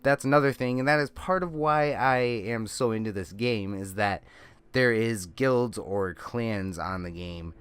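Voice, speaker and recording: Deep-voiced, slightly congested man. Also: measured pace, fairly bright tone, smooth, no echo. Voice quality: slightly nasal from a cold. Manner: rambling, self-correcting explanations. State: congested with a lingering cold. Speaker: gaming channel host. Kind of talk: casual game walkthrough commentary. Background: faint music.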